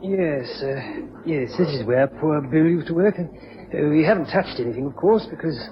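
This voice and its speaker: An actor playing a nervous, deferential chief clerk, hesitant and apologetic, in a period radio drama. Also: male voice, a little high, lively intonation, moderate pace, crowd noise, close to an everyday voice. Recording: muffled radio recording with clear hiss.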